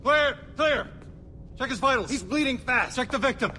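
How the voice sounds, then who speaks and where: An actor playing an urgent, commanding armed security officer in a video game action scene. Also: male voice, extremely high pitch, expressive, moderate pace, exaggerated voice. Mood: brisk, tense. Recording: loud ambient noise.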